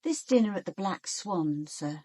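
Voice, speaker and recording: An actress playing a sharp-minded British police detective in a radio drama, with controlled, deliberate and pointed delivery. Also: female voice, on the low side, expressive. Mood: pointed, probing. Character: sharp-minded, ambitious female police detective questioning suspects. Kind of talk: radio drama acting with first-person narration.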